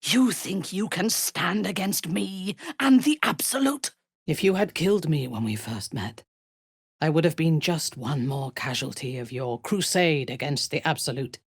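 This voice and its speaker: A menacing, haughty warrior zealot from a video game. Her voice is deep and husky, recorded close and dry. She speaks slowly and formally, with imperious scorn and wide swings in inflection. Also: expressive, no echo, exaggerated voice. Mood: threatening. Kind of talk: video game character voice lines.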